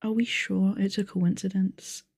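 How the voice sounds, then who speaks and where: A woman acting a rule-bound, blunt creature who runs the tabletop game. Her voice is mellow and very dry, close and without room sound. She speaks matter-of-factly, with a pedantic streak, in ASMR roleplay style. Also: mid-range voice, level tone, a little slow, creaky, slightly rough, no room sound. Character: strict, blunt, rule-following game master with sensitive ears, female nonhuman.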